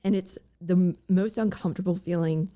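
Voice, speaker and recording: A young woman talks in a candid, halting way, full of fillers and little restarts. Her voice is raspy and cracks often. It sits low for a woman and has a mellow, soft color. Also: fairly even intonation. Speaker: guest. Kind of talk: candid personal interview.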